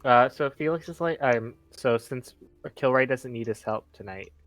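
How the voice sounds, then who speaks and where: A man's voice: medium pitch, normal speed, fairly bright tone, no echo, faint music behind. A man talking casually and in a laid-back way as a player in a tabletop game. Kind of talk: casual chat.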